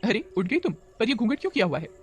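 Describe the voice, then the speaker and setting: Man playing a high-voiced brisk housewife. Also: sing-song, slightly rough, heavily acted. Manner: brisk, familiar ordering. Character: bossy but kindly young housewife.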